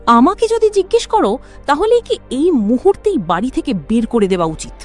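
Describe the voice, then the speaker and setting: A woman's voice: sits high, very animated, moderate pace, a little mellow, soft background music. A woman voicing a spiteful, nagging village sister-in-law in a cartoon story. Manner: nagging, cold.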